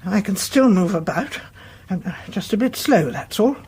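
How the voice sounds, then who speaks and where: An actress playing a kindly, stoical elderly British woman in a radio play, motherly and fussing, with a breathy voice. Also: medium pitch, expressive, normal speed. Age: older. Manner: motherly, chatty, gently fussing. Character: kindly, fussing elderly widowed-grandmother type neighbour, stoical.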